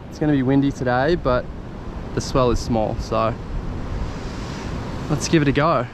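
A man's voice: mid-range voice, normal speed. A man, casually talking through his fishing gear and plans while standing on a rock ledge by the sea, recorded on a head-mounted camera. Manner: relaxed, explaining gear step by step. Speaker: fishing vlogger. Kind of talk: casual first-person fishing commentary. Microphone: head-mounted action camera. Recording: wind and surf noise on camera mic. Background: crashing waves and wind.

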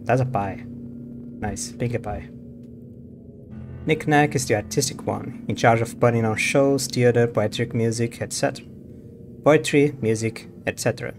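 Male game streamer, mid-pitched, offhand musing commentary, music underneath. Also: moderate pace, pauses often. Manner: musing to himself, reading on-screen text aloud. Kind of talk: casual gameplay commentary. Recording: music behind the voice.

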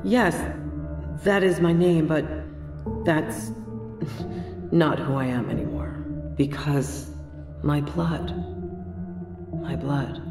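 An actress playing a deranged female hospital patient in an audio drama, speaking in halting, repetitive fragments with intensity. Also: deep voice, steady tone. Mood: haunted, unsettled. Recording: roomy sound with music underneath.

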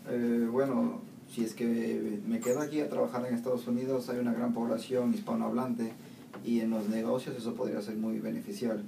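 Young man, hesitant answers, gravelly tone, flat delivery, distant echoey mic. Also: mid-range voice, fairly quick. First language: Spanish. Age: young adult. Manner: hesitant, searching for words. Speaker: student interviewee. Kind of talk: casual interview answers. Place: small classroom with plain walls. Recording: distant echoey room, faint noise.

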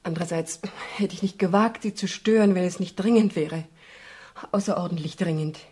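An actress playing a nervous, earnest young client in a radio crime drama, speaking with a husky voice. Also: female voice, lowish voice, moderate pace, a little mellow. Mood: anxious, earnest. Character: nervous, earnest young woman seeking detective's help.

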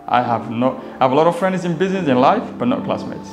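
A man's voice: mid-range voice, animated, quick pace. A young man from Somalia with a Somali accent, a native Somali speaker, telling his own story casually as an interview guest. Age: young adult. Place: indoor office lounge with glass walls. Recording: echoey room, faint background noise.

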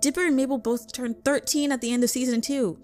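A woman's voice: high-pitched, steady tone, fairly quick, a little mellow. Woman narrating a scripted video essay. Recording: background music under voice. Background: music.

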